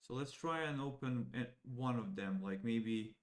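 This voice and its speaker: Man thinking aloud through code. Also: medium pitch. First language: Serbian. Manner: thinking aloud, halting, speaks to viewers as guys. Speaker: AI educator. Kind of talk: live coding walkthrough.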